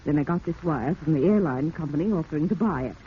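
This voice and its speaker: An actress playing a trusting, genteel wealthy wife with an unhurried, affectionate manner in a 1940s radio drama. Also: female voice, sits low, expressive. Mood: relaxed, fond. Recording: muffled, hissy 1940s radio recording.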